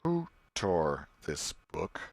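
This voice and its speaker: Male reader as a stern schoolmaster, slow, deliberate, accusing, wrathful, dry sound, storybook figure. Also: low voice, very animated, creaky, dry recording, heavily acted. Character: stern, wrathful schoolmaster interrogating his pupils.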